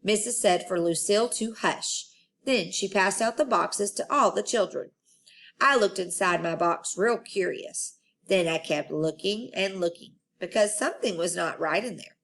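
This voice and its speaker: A woman storyteller reading a children's book aloud in an expressive style. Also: medium pitch, normal speed, vocal fry.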